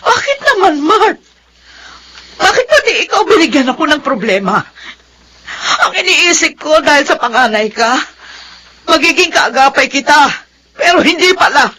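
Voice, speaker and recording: A woman's voice: sits high, sing-song, moderate pace, long pauses, pushed and bright, slight background noise, full character voice, low-quality recording. A woman playing an anguished, long-suffering middle-aged mother in a melodramatic radio drama, tearful and reproachful. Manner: tearful, reproachful, wailing outbursts.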